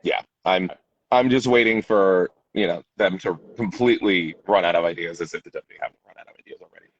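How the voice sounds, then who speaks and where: A man's voice: sits low, fast talker, no room sound, lo-fi sound. A man co-hosting a wrestling podcast in casual chat, agreeable and rambling, with frequent yeahs. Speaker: podcast co-host.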